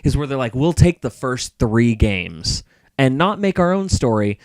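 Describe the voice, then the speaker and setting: Male podcast co-host, smooth clean voice, casual and opinionated, close dry sound. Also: medium pitch, sing-song, unhurried, dry recording. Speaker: podcast co-host and film reviewer. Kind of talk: casual podcast chat about movies.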